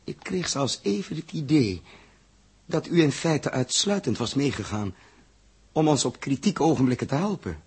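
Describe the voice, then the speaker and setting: An actor in a 1960s radio drama playing a calm, reasoning spaceship captain with a husky voice. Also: male voice, medium pitch, normal speed. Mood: thoughtful.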